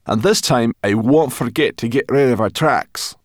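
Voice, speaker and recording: A man voicing a brisk, sharp-toothed female forest creature in an audiobook, talking in a bossy way. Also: medium pitch, dry recording, clean studio sound, pitched above the performer's own voice, natural voice. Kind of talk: audiobook character voice.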